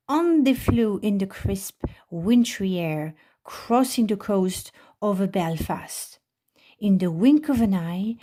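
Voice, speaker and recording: A woman's voice: medium pitch, dark tone. A woman reading a children's storybook aloud as narrator at a library storytime.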